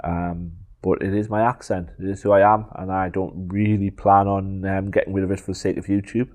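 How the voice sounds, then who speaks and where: A middle-aged man from the northeast of England with a Geordie accent, answering viewer questions in a relaxed, rambling way full of ums. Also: deep voice. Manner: unhurried, frequent ums, digressive. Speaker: photography YouTuber. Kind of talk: casual Q&A talk to camera.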